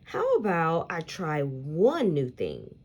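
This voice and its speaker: A woman voicing an earnest, particular young schoolgirl in a picture book, with emphatic, expressive delivery. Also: low-pitched, slow, exaggerated voice. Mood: conceding. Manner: emphatic, expressive storybook dialogue.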